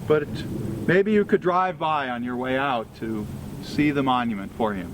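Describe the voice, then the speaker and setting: A man reads a formal scripted historical tribute at an outdoor commemoration, delivering it phrase by phrase in a measured way. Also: mid-range voice. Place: outdoors at a cemetery gathering. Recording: outdoor recording with clear ambient vehicle noise.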